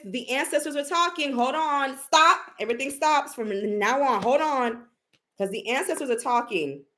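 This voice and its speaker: Brash, profane high-voiced woman. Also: fairly bright tone. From Haitian family. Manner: brash, profane, sassy, emphatic. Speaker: psychic reader. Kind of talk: casual tarot reading chat.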